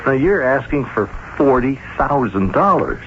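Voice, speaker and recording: A kindly, hesitant banker in an old radio drama. He hedges and stammers politely, his pitch moving in lively swings. The recording is muffled with a faint hiss. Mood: polite, doubtful. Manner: halting, hedging, stammering politely. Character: hesitant, soft-hearted male banker, mild and kindly.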